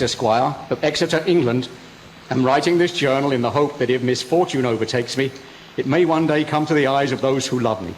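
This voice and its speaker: A man's voice: mid-range voice, a little hiss or hum, close to an everyday voice, low-quality recording. An actor playing an earnest young English lawyer's clerk, narrating his journal tensely in a literary radio drama style. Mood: earnest, uneasy. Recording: loud music and effects behind.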